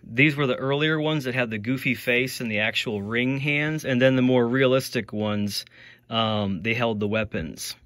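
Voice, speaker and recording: A man's voice: mid-range voice, normal speed, a bit bright, dry recording. A man in his forties chatting in a basement, explaining old toys with loose fillers and restarts. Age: 40s. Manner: knowledgeable, explaining toy history with many fillers. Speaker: toy collector host. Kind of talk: casual chat.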